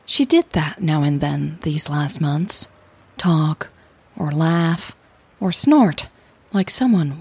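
A woman podcast host reading scripted audio drama narration in a measured, intimate way with deliberate pauses. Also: low-pitched, a little mellow, slightly rough, no room sound.

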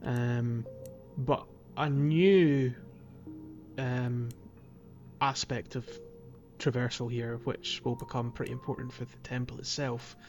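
A man's voice: mid-range voice, steady tone, slow pace, a little mellow. Man with slightly dull audio over music. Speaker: podcast co-host. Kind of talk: casual chat, guiding a game playthrough. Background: background music.

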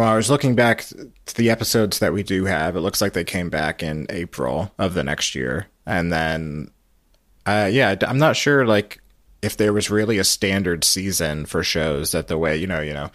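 A man's voice: low voice, no room sound, studio quality. A man in his thirties hosting a podcast, chatting casually and explaining things in an informative way. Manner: conversational, informative. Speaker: podcast host. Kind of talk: casual conversation, explaining old radio trivia.

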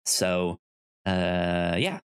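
A man's voice: sits low, sing-song, extremely slow, clear, resonant tone. Stammering man in casual podcast chat. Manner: stammers, often repeating first syllables of words. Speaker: podcast co-host. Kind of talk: casual chat, pitching comics and reading blurbs aloud.

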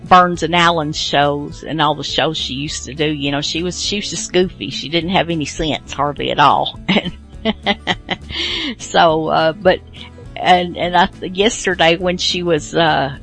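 A woman's voice: low voice, fairly even intonation, measured pace, creaky, fairly bright tone, soft background music. A woman hosting a podcast, giving a casual, rambling introduction in an informal way. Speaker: podcast host.

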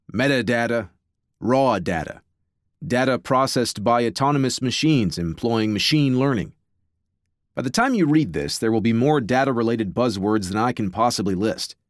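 A man reads nonfiction as an audiobook narrator. His pitch sits in a middle male range, and the recording is very dry, with no room sound. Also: dry recording.

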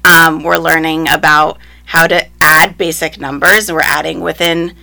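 A young woman with a bright, sharp tone and a fairly low pitch answers interview questions in an upbeat, casual way. Her answers are peppered with ums and likes. She grew up speaking Spanish and English side by side. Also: steady tone, measured pace, gravelly. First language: Spanish, alongside English. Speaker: first grade teacher, interviewee.

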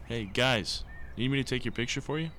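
An actor playing a teasing, protective young brother in an audio drama. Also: male voice, mid-range voice, fairly quick, sharp tone, slight background noise, close to an everyday voice. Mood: casual. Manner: easygoing, teasing.

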